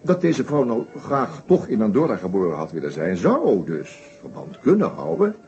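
An actor playing a shrewd, methodical detective inspector in a Dutch radio play, explaining his case deliberately with small hesitations. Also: medium pitch, huge pitch swings, darkish tone. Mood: measured, thoughtful. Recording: dull old radio play recording.

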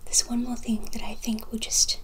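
A woman in her thirties playing a kind, encouraging witch of the woods in a soft-spoken ASMR roleplay, whispery, gentle and unhurried. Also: fairly high pitch, monotone, lightly acted. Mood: kindly helpful. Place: small room, indoors.

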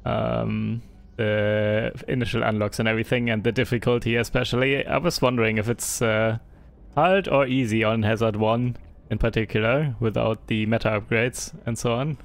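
A man's voice: medium pitch, fairly even intonation, moderate pace, creaky. A young man with a German accent chatting casually through a live game stream, reading and answering viewer chat with frequent hesitations.

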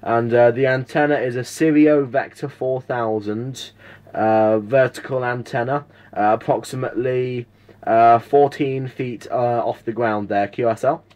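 Southern english bloke chatting as a cb operator, mid voice, friendly, says things twice. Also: male voice, medium pitch, fairly even intonation, measured pace, a little mellow. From United Kingdom. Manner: friendly, repeats details. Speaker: amateur CB radio operator. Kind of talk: casual radio contact with call signs and spelling. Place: indoors, at a home radio setup.

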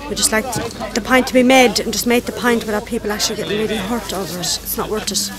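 A woman's voice: medium pitch, fairly even intonation, fast talker. A woman in her thirties speaking emphatically in an outdoor interview, repeating phrases as she goes. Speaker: resident interviewee. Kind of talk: spontaneous interview talk. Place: outdoors at night. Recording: outdoor crowd noise behind.

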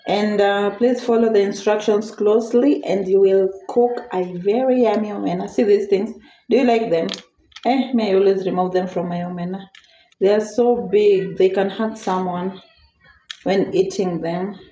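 Kenyan lady chatting thru a cooking vlog, repeats herself, mid pitch, echoey room w some noise. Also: steady tone, moderate pace, mellow. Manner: chatty, repeats phrases, mixes in Swahili words. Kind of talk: casual cooking tutorial chat. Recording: echoey room, clear background noise, clicks.

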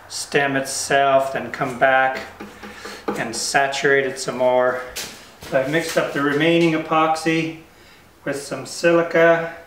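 Older guy talking slow and matter-of-fact while he works, echoey shop, kinda far off mic. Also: medium pitch, slight background noise. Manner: calm, matter-of-fact explaining while working. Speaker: woodworker building a kayak. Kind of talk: step-by-step build narration. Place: workshop, indoors. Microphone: camera mic at a distance.

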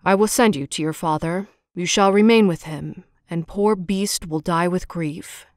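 A woman doing a low, whispery, mournful beast. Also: unhurried, a little mellow, no echo, clean studio sound, close to an everyday voice. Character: humble, mournful male beast, gentle and sad.

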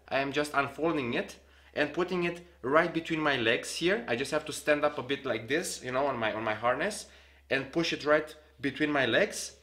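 Young man, bright tense voice, casual tutorial, small home room, desk camera. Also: medium pitch. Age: young adult. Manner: explains hands-on, demonstrating gear while talking. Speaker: vlogger. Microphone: camera on a desk, facing him.

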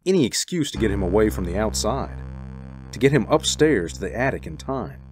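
A man's voice: fairly low pitch, animated, fairly quick, darkish tone, faint music behind. A man narrating a suspense radio drama in a dramatic, ominous, scripted style.

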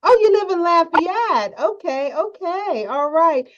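A woman's voice: extremely high pitch, sing-song, unhurried. Woman tv host, chatty, laughs a lot, dull tinny audio. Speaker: TV show host. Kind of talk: TV interview.

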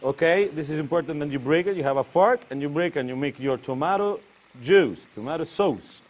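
A man's voice: on the high side, lively intonation, a little fast, slight background noise. Italian chef, male, slightly raised voice, talks as he cooks in broken, friendly English. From Tuscany, Italy. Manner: chatty, broken English mixed with Italian interjections. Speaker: chef and cooking demonstrator. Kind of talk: live cooking demonstration. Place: kitchen demonstration set.

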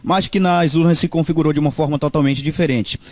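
A man's voice: fairly high pitch, fairly even intonation, quick pace, darkish tone, dry recording. A man reporting and putting interview questions as a TV news reporter.

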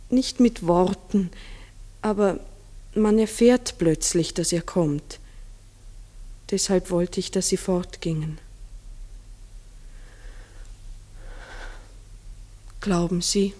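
An actress playing a troubled farm wife, telling her story gravely and deliberately in a 1960s radio play. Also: medium pitch, darkish tone. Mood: grave, secretive. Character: troubled farm wife recounting an eerie event. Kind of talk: 1960s German-language radio play acting.

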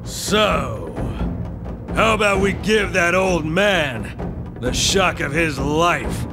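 A man's voice: a little high, animated, extremely slow, exaggerated voice. A man playing a bold, hearty warrior in an English game dub, speaking in a declamatory way. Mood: boisterous. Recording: music behind dialogue.

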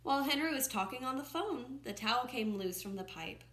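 A young woman with a bright, slightly high voice reads a children's picture book aloud. She is expressive and teacherly, stepping out of the story to comment or put questions to young listeners. The room she records in is audibly echoey. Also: fairly quick. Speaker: first-grade teacher reading aloud. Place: small room at home, indoors by a window. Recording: roomy, echoing home recording.

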